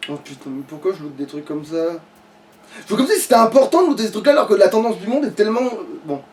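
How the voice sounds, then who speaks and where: A man's voice: high voice, big pitch swings, fast talker, darkish tone. A man playing a video game and thinking aloud in casual, sweary commentary. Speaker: gamer playing on a let's play. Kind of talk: casual let's play commentary. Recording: distant mic with faint noise.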